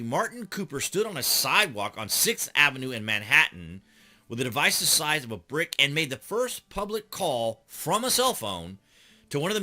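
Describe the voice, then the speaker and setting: A man's voice: mid-range voice, sharp tone. A middle-aged American man hosting a news commentary channel, reading and commenting in a conversational way that leans on key words.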